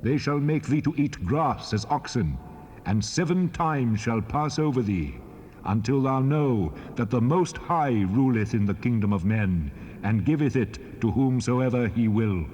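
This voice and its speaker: A man's voice: mid-range voice, lively intonation, a little slow, a little mellow, slightly rough. A man voicing a divine voice from heaven, proclaiming a solemn judgment. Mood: commanding. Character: booming heavenly voice, divine and commanding. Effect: echoing reverb. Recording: dull echoing cassette audio with hiss.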